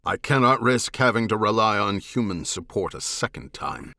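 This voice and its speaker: Audiobook role: proud alien warlord played by a male narrator, deep, measured, formal, self-critical, dry close sound. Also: animated, sharp tone, no room sound. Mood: somber, resolute. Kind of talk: audiobook character dialogue.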